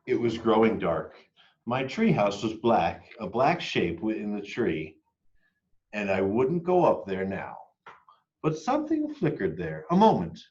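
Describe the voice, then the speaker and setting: A man reading a children's picture book aloud expressively, moving between hushed and emphatic delivery. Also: fairly low pitch, very animated, unhurried, highs cut off. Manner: dramatic, hushed and emphatic by turns. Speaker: read-aloud storyteller.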